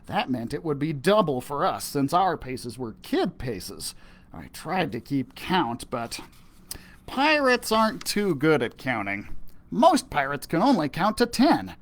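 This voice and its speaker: A man reading a children's story aloud, playfully talking like a pirate. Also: fairly high pitch. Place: library video recording.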